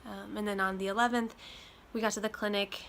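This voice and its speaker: A young woman talks casually at home, her voice a little nasal from a lingering chest cold. She rambles with frequent ums, unhurried and conversational. Faint road traffic and wind chimes drift in through open windows. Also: mid-range voice, flat delivery, a bit bright. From Iowa. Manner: chatty, many ums, loose and rambling. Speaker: vlogger. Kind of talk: casual talk to camera.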